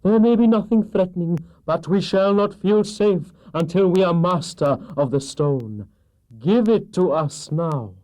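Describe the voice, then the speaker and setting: A man's voice: high-pitched, darkish tone, pitched above the performer's own voice, low-quality recording. A man voicing a commanding evil witch in a children's storybook, with a harsh, droning, imperious delivery. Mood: urgent menace. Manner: slow, imperious incantation. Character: commanding evil witch woman chanting dark spells.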